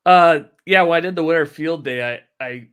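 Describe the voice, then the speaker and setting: A man, explaining things in a practical, low-key way as a guest in a casual interview. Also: moderate pace, fairly bright tone, clean tone. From northern Wisconsin, United States. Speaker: guest, outdoor amateur radio operator. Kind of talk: casual live stream interview.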